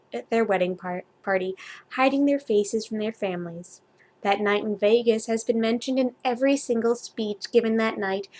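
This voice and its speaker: A woman's voice: a little high, a little mellow. A woman reading fan fiction aloud in an informal, amateur way, with a giggly, self-deprecating manner. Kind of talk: amateur story read-aloud and casual chat.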